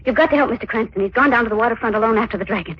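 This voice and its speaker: A woman's voice: on the high side, very fast, natural voice. An actress playing a crime fighter's earnest female companion in 1940s radio drama style. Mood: alarmed. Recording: dull, noisy 1940s radio recording.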